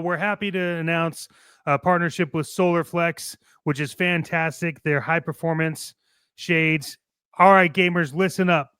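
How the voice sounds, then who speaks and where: A man's voice: on the high side, bright, forceful tone, smooth, dry recording, clean studio sound. A man co-hosting a hobby talk show, moving between opinionated chat and brash, playful, slangy ad reads. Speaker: co-host of a toy-collecting show.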